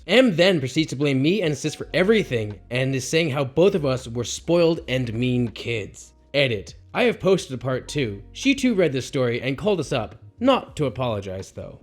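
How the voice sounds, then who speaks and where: A man hosting a channel, reading online stories aloud and reacting expressively between them. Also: fairly high pitch. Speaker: host reading Reddit stories.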